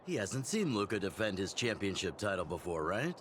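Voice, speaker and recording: A man voicing a genial middle-aged town chief and mentor in a game, relaxed and teasing in a fatherly way. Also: fairly low pitch, brisk, a little hiss or hum. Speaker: community chief.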